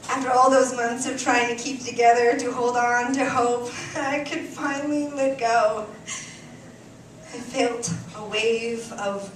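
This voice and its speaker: Woman reading a story in an echoey room. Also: fairly high pitch. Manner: expressive, deliberate, theatrical delivery with dramatic pauses. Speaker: reader performing a short story on stage. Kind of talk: dramatic live literary reading. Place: indoor cafe stage before an audience. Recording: echoey live venue, distant mic, room noise.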